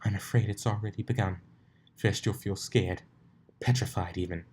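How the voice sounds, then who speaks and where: A man's voice: on the low side, fairly even intonation, normal speed, darkish tone, slight background noise, natural voice. A man voicing a prim, dramatic female unicorn in a cartoon story, prissy and theatrical in manner. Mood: mock grave.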